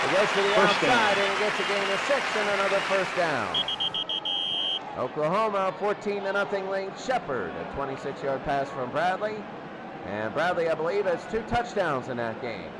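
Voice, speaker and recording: A man calling football play-by-play, getting excitable when the action picks up. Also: fairly high pitch. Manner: excitable on big plays. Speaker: play-by-play sports commentator. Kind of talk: American football game commentary. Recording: clear background noise.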